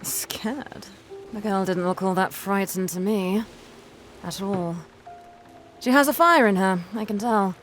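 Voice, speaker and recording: A woman performs a shrewd ship's captain in an audio drama. She speaks at a middling pitch with measured authority, as one used to being obeyed. Music sits behind her voice. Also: lightly acted. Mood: wry, probing. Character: commanding, shrewd female sea captain.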